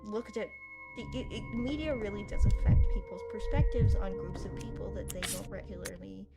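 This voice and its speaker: A young woman talking through a horror game with a loose, rambling chattiness, full of fillers and self-deprecating asides. Her voice sits at an ordinary middle pitch for a woman. Loud game music plays beneath her. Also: fairly quick, gravelly. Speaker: gaming video host. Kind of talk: casual commentary while playing.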